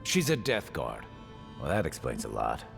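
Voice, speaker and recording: A blunt game sidekick, super deep n gravelly, dry and teasing. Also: male voice, huge pitch swings, normal speed, over music, heavily acted. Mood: wry, casual. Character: blunt, dry-witted male companion, seasoned and teasing. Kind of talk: game dialogue.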